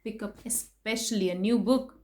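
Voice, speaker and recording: A woman doing an expressive children's picture book read-aloud, playful in her emphasis, with chatty asides to her young listeners. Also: mid-range voice, moderate pace. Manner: animated, sing-song rhyme reading with playful emphasis. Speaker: children's story reader and host.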